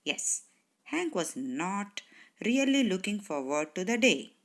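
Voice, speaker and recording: A woman narrating a children's bedtime story in a measured, expressive way with dramatic pauses. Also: medium pitch.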